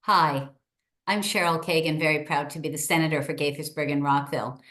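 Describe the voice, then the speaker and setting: A woman's voice: low-pitched, steady tone, fairly quick, fairly bright tone. A middle-aged woman hosting a short interview over a video call, introducing her guest and asking questions in an upbeat, enthusiastic way. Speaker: host, state senator.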